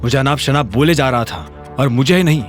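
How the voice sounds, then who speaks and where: A man's voice: medium pitch, fairly quick, soft background music, voice pushed down, close to an everyday voice. Man acting a defensive, earnest young son-in-law. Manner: earnest, indignant self-justifying. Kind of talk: Hindi audio drama acting.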